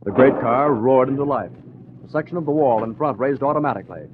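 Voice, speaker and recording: A male announcer tells the action of a vintage radio serial in dramatic, measured phrases. The old recording is slightly dull, with faint noise behind him. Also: medium pitch. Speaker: announcer-narrator. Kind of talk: 1940s radio drama narration.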